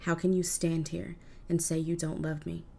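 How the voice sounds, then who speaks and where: Lovesick sea god guy, soft and earnest, low flat voice, a woman reading him. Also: normal speed, gravelly.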